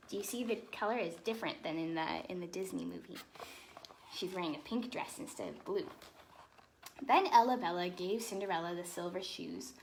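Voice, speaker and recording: A woman reading a children's storybook in an expressive, animated way, with explanatory asides to young listeners. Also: medium pitch, slight background noise.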